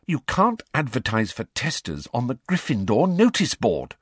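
A man's voice: mid-range voice, huge pitch swings, moderate pace, slightly rough, no echo, studio quality, voice pushed up. A man voicing a bookish, bossy teenage girl with a prim, matter-of-fact way of talking, in an audiobook. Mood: stern, challenging.

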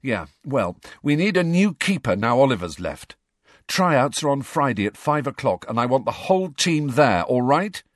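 A man voicing a brisk, businesslike teenage girl sports captain in an audiobook, direct in manner. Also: mid-range voice, big pitch swings, no echo, studio quality, voice pushed up.

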